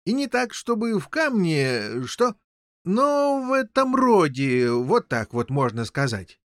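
An excitable, muddled old king, as a male narrator plays him. The voice is high and swoops about, rambling and stumbling through explanations in a storybook reading. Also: slow pace, no room sound, studio quality, full character voice. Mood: flustered, explaining. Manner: rambling, stammering, tacks on questioning interjections.

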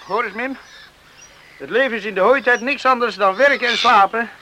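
A man's voice: very high voice, normal speed, background noise. A man acting in a Dutch radio play as an earnest, tender, hardworking young farm man in love. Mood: plain, curious. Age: young adult.

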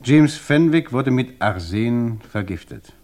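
An actor playing an investigator who questions a widow in a measured, insistent way. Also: male voice, medium pitch, lively intonation, a little mellow. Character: calm, probing male investigator interrogating a widow. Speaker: interrogator. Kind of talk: radio crime play.

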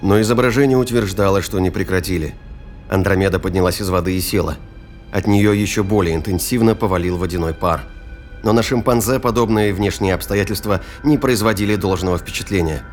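A man reading scripted fiction narration in a measured, literary storytelling manner. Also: sits low, soft background music.

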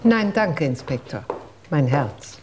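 An actress in a radio drama playing a matter-of-fact household employee, composed, with a husky, creaky voice. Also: female voice, sits low, very animated, takes it slowly, noisy background, full character voice. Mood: composed witness.

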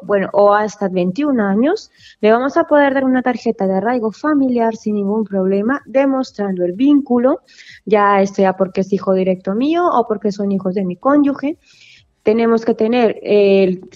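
A woman's voice: a little high, mellow, dull audio. A woman lawyer explaining immigration paperwork in a radio interview, full of asides and self-corrections. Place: office in Valencia, Spain.

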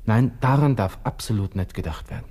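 Actor as brooding low-voiced young Viennese lieutenant. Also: male voice, brisk, a little mellow, lightly acted. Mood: anguished. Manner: brooding, talking to himself in clipped bursts. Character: proud, agitated young Austrian army lieutenant brooding on death. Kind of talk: radio drama interior monologue.

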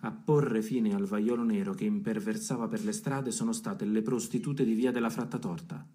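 An actor playing a confiding storyteller in radio theatre, telling a legend in measured phrases straight to the listeners. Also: male voice, on the low side, fairly even intonation, mellow, close to an everyday voice. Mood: confiding, assertive. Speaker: stage actor narrating. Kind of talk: literary radio theatre narration.

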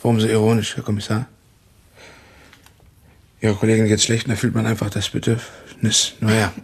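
An actor playing an evasive, casually ironic security guard with a slightly husky voice in a radio crime drama. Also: male voice, on the low side, monotone, fairly quick.

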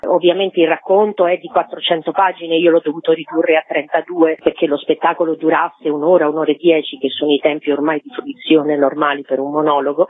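A woman's voice: lowish voice, no echo. A woman speaking as an actress and director in an interview, articulate and reflective, explaining at length over the telephone. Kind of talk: spoken interview about a stage production. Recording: tinny phone line on radio.

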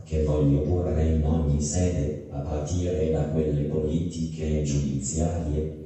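Male reader, extremely low and dark, grave letter reading in cavernous reverb over music. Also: recorded from a distance. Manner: slow, grave, deliberate. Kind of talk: solemn dramatic reading of a letter.

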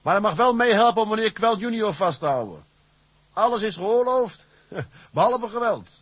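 A man playing a shrewd, defiant tugboat captain in a radio drama, with a husky voice and a wry, blunt, commanding manner. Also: high voice, lively intonation, moderate pace, a bit bright, dry recording, heavily acted. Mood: urgent commanding. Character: shrewd, defiant male tugboat captain, plain-spoken schemer. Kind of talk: Dutch radio drama acting.

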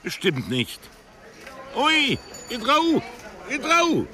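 A man's voice: very high-pitched, huge pitch swings, drawn-out words, sharp tone, heavily acted. An actor playing a cantankerous, pedantic insect researcher in a radio play, brusque and condescending as he lectures. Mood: irritated, dismissive.

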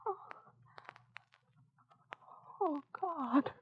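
A woman's voice: high voice, animated, extremely slow, heavily acted. An actress playing a proud, anxious mother of a sick child in an old-time radio drama. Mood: stunned. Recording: muffled old radio recording.

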